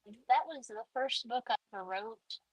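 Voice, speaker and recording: A woman's voice: a little high, animated, moderate pace, husky, no room sound, low-quality recording. A woman in her forties, an author being interviewed, talking casually with many fillers and restarts. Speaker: author guest.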